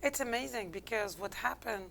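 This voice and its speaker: A woman's voice: a little high, moderate pace, vocal fry, fairly bright tone, a little hiss or hum, poor audio. Algerian-accented woman telling her story. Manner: candid, reflective, non-native English phrasing. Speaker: interview guest. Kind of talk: personal storytelling in an interview.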